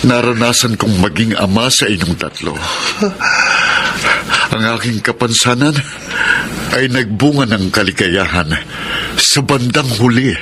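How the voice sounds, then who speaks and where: A man's voice: lowish voice, a little slow, pauses often, very noisy, natural voice. A Filipino man playing a humble, grateful father in a radio drama. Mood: grateful, moved. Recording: loud music bed behind.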